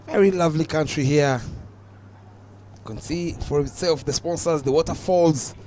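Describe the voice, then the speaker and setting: A young Ugandan man giving live cricket commentary, calm and measured, lifting his voice on the big moments. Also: fairly high pitch, a little hiss or hum.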